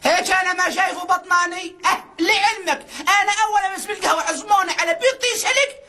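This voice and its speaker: A woman's voice: very high-pitched, fast, sharp tone. A woman voicing an indignant, boastful comic cartoon character with an Iraqi accent.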